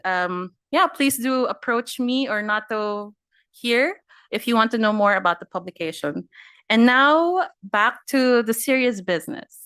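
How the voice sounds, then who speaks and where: A woman emceeing a conference session, running a short quiz in a lively, announcing way before handing over to the panel. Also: mid-range voice, smooth, dry recording. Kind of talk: upbeat conference hosting.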